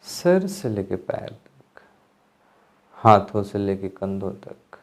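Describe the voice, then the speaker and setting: A man giving guided meditation instruction, speaking calmly in measured phrases with pauses as an instructor. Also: on the low side, vocal fry. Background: music.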